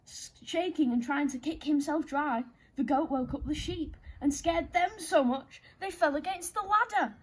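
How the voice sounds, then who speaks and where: A woman's voice: sits high, normal speed, hardly any pauses, rough recording. A woman reading a story aloud to children in a playful, theatrical way, speaking directly to her young listeners.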